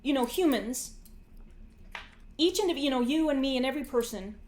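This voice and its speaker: Female podcast host, forties, very high voice, rambling conversational reasoning with frequent rewording, roomy echo. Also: lively intonation, moderate pace, darkish tone, slight background noise. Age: 40s. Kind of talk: casual philosophical conversation. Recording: roomy, echoey sound.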